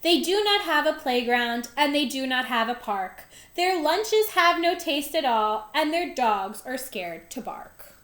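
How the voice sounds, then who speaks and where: A woman's voice: sits high, expressive, measured pace, fairly bright tone, reverberant. A woman reading a children's storybook aloud expressively, with dramatic, emphatic phrasing. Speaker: storybook reader.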